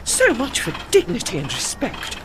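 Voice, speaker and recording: A woman's voice: on the high side, huge pitch swings, normal speed, background noise. An actress playing a haughty, dry-witted old lady ship with an arch, formal manner in a period audio drama. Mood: indignant.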